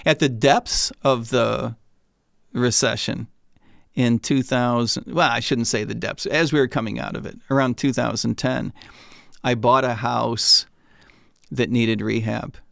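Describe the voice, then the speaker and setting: A man's voice: mid-range voice, steady tone, measured pace, gravelly, fairly bright tone, no room sound, studio quality. A man in his sixties, a retired economist, telling stories as a guest in a casual interview and often repeating words when he starts a sentence.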